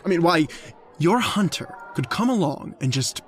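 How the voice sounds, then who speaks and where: A man's voice: a little high, sing-song, normal speed. A man acting in an old time radio drama as an earnest, talkative suitor with a hesitant, stammering delivery. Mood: chatty, casual. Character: talkative, earnest male suitor, a lovestruck hunter.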